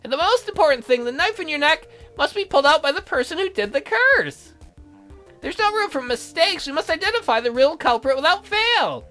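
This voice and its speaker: A woman's voice: high-pitched, sing-song, a little fast, a bit bright, faint music behind, voice pushed up. A woman voicing a fussy, devoted magical attendant in a visual novel, prim and snippy but deferential to a lady. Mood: earnest, worried.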